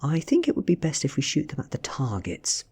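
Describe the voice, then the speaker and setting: A man voicing a sickly, nerdy teenage boy, earnest and self-deprecating, in a softly read story. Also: a little high, huge pitch swings, fairly quick, slightly rough, pitched above the performer's own voice, heavily acted.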